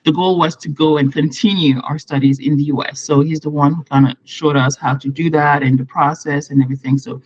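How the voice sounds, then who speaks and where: A woman's voice: sits low, steady tone, a little fast, few pauses, a little mellow, breathy edge, muffled recording. A woman in her thirties, from Morocco, a guest on a video call interview, talking at length and often restarting her sentences. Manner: chatty, explains at length with restarts.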